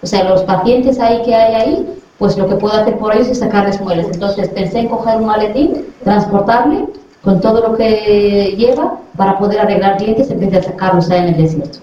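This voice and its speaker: A woman's voice: mid-range voice, a little fast, mellow, slight background noise. A middle-aged woman from Western Sahara, a dentist, talking to a class of children and asking them questions as she goes. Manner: friendly, asks the children questions to check they follow. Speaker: dentist visiting a school class. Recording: very echoey, far from the mic, dull lo-fi sound.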